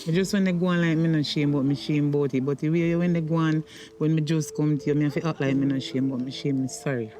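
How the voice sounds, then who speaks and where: A man's voice: on the high side, steady tone, fast, dark tone, slight background noise, lightly acted. A man with a Jamaican accent playing a brazen middle-aged mother in a domestic drama, insistent and repeating the same phrases. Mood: apologetic, ashamed. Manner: repetitive, insistent.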